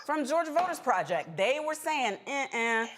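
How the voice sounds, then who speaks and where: A woman's voice: lowish voice, moderate pace, a little hiss or hum. An American woman leading a political panel discussion with emphatic, pointed delivery. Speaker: panel host.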